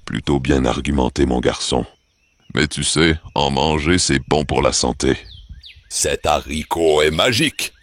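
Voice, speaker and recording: A man playing a strange, sly old peddler of a magic bean in a children's cartoon tale, wheedling and deliberate. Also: rumbling low. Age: older. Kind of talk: children's cartoon storybook acting.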